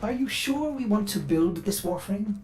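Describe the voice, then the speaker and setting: An actor playing an over-eager, fussy artificial intelligence that serves aboard a spaceship in a video game. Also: male voice, sits high, expressive, moderate pace. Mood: helpful, analytical. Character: chirpy, bug-addled male ship AI, eager servant with dark glitches. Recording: music behind the voice.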